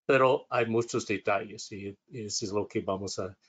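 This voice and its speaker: Low-voiced man, hesitant. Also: normal speed, slightly rough. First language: English. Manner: hesitant, self-correcting, reading from notes. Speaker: instructor. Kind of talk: webinar lecture.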